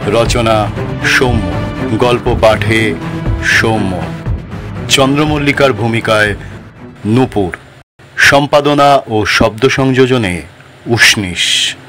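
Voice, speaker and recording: A man reading a scripted horror story as storyteller, dramatic and suspenseful, with deliberate pauses. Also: medium pitch, over music.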